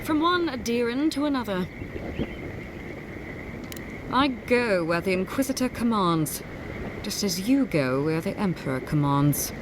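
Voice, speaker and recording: A woman's voice: mid-range voice, sing-song, unhurried, pauses often. A smug female zealot from a fantasy game. She taunts coldly in a controlled, mock-polite middle register, with distinct ambient noise behind her. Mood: smug, taunting. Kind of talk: video game dramatic acting.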